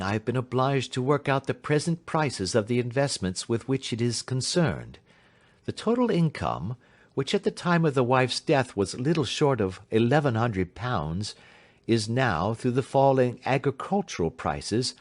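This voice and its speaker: A man voicing a cool, imperturbable gentleman detective in an audiobook, suave and precise, with quiet amusement. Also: medium pitch, voice pushed up. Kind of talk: audiobook character acting.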